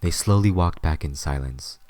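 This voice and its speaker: A man's voice: extremely low pitch, normal speed, darkish tone, no room sound. A man reading scripted story narration as the narrator of an audio drama.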